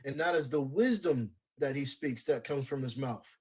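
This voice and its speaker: A man's voice: medium pitch, moderate pace, a little mellow, husky. A man preaching in a religious debate, insistent and repetitive, cutting in to keep the floor.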